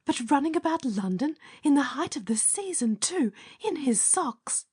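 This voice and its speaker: A woman voicing a flustered young Victorian wife, breathy and anxious. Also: sits high, sing-song, normal speed, darkish tone, pitched above the performer's own voice.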